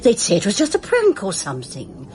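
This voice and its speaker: A woman's voice: medium pitch, huge pitch swings, a little fast, a little mellow. An actress playing an emotional, devoted older woman in a radio drama. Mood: earnest, shaken.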